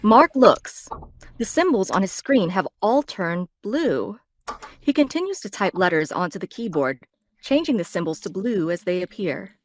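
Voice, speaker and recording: A woman's voice: medium pitch, expressive, normal speed. A woman narrating scripted stage directions at a table read.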